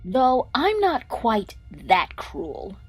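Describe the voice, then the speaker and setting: A woman's voice: fairly high pitch, wildly swooping pitch, extremely slow, husky, soft background music, heavily acted. A woman voicing a playfully cruel magical fox trickster in an audio drama, with a taunting, theatrical drawl. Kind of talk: fan audio drama acting.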